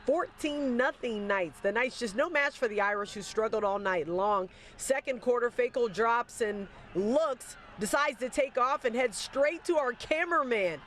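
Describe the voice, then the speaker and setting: A woman sports reporter reading game highlights in a steady newscast delivery. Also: normal speed, hardly any pauses, a little hiss or hum. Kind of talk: scripted highlight narration.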